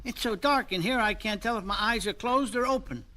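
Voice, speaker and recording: A man voicing a silly, wisecracking, cowardly bald stooge in a slapstick cartoon, with a squeaky, tense voice and clownish delivery. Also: brisk, bright, forceful tone. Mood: goofy joking. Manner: goofy, clownish joking. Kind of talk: slapstick cartoon comedy acting.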